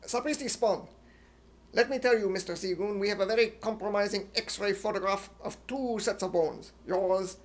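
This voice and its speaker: A man's voice: high-pitched, moderate pace. A man voicing a scheming, spluttering villain's sidekick in a melodramatic manner, in 1950s comedy style. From United States. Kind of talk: 1950s radio comedy acting.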